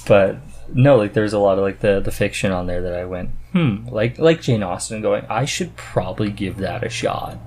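A man with a slightly husky, unpolished voice talks casually as a podcast host. His delivery is informal and halting, as if working out each thought while he speaks. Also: fairly low pitch, a little mellow. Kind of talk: casual conversational chat about hobbies.

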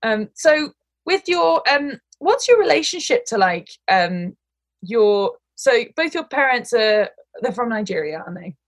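A woman's voice: fairly high pitch, sing-song, measured pace, dry recording. Host voice: woman, chatty and rambling, lots of fillers, playful asides. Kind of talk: casual chat.

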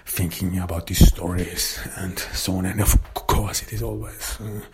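A man whose first language is German, hosting his own podcast in casual talk, halting with many fillers and restarts. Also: sits low, steady tone, gravelly, very noisy. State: recovering voice after illness. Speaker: podcast host and author.

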